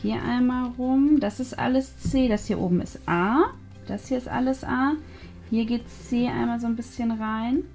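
Higher-voiced, mellow woman chatting through crafts. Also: moderate pace, gravelly. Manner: chatty, thinking aloud step by step. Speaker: craft video host. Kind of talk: casual hands-on craft tutorial narration. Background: background music.

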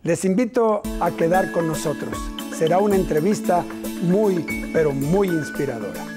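A man in his fifties hosting a TV interview in a studio, speaking in a measured way with frequent short affirmations. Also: a little high, expressive, loud music behind the voice. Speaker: host. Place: TV studio set.

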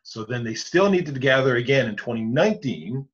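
A man's voice: mid-range voice, animated, slightly rough. A man in his forties, an Anglican rector with a Newfoundland accent, explaining church decisions step by step over a video call from his study. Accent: Newfoundland English. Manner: measured, explains step by step with many restarts. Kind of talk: explanatory interview answers. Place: home study with bookshelves. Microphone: video call webcam. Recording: muffled lo-fi video call audio.

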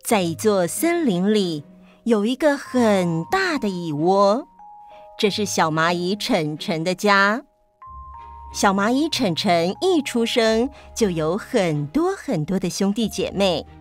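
A woman narrates a children's story in a mid-range voice. She works as the storyteller, with soft music underneath. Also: mid-range voice, expressive, unhurried.

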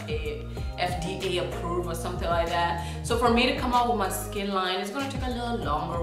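A young woman from South Sudan vlogging casually to camera, chatty and animated, swinging between loud emphasis and soft asides. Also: lowish voice. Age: young adult. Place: small indoor room with a plain backdrop. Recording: very echoey room with music playing behind.

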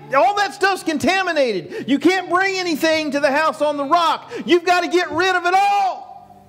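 A man's voice: extremely high pitch, fairly bright tone. An older man preaching a sermon to his congregation. He builds to emphatic peaks and repeats key phrases, recorded by a far camera in a small church. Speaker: pastor. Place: small church sanctuary with projection screen. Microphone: far camera, no mic seen.

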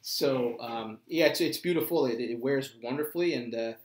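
A man's voice: medium pitch, normal speed, a little mellow. Middle-aged man, echoey room. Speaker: watch show co-host. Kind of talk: casual chat. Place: small indoor room, seated at a table. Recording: roomy indoor echo.

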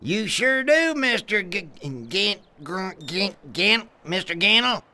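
An actor voicing a goofy, good-natured hillbilly tow truck in an animated game, with a drawling Southern US accent. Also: male voice, sits high, takes it slowly, slight background noise, exaggerated voice. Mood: muddled. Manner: folksy, drawling, simple-minded. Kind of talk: animated game cutscene acting.

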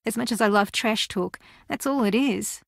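A woman's voice: a little high, normal speed, gravelly, no room sound, clean studio sound. An actress voicing a driven, blunt young female reality-show contestant in a video game, wry and clipped. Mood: tense, blunt.

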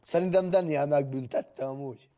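A man's voice: on the high side, dark tone, slight background noise. A man bantering casually during an online role-play game, slangy and given to swearing.

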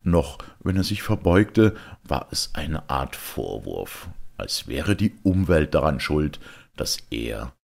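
A man giving an expressive, deliberate reading of a literary text, with emphatic pauses. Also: a bass voice, creaky.

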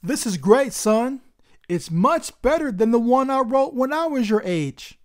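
Lady doing a proud storybook dad, encouraging and emphatic, really animated delivery. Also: on the high side, a little slow. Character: proud, encouraging adult father in a children's storybook.

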